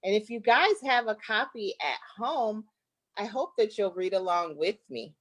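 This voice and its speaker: A woman from Flint in the United States, an author reading her children's book aloud with deliberate, playful expression. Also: mid-range voice.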